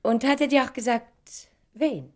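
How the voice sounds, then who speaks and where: A woman's voice: sits high, measured pace. An actress playing a poised, probing society lady in a German radio play, politely cool and pointed in her replies.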